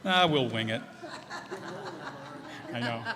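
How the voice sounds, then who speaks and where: Slow, halting male host in echoey hall. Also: mid-range voice, animated, sharp tone. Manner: halting, informal. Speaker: host introducing the cast. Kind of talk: casual unscripted address to a live audience. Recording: echoey hall, audience, background noise.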